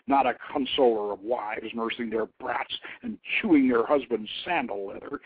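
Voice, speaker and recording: A man's voice: medium pitch, no room sound. A man reading his own story aloud as a solemn, theatrical narrator. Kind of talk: dramatic scripted storytelling. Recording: tinny phone-like internet radio audio.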